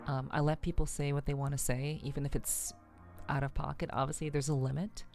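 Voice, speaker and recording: A woman livestreamer chatting casually to viewers in an ASMR style, with a soothing voice and a relaxed, halting, unhurried delivery. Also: low voice, vocal fry, soft background music. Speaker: VTuber livestream host.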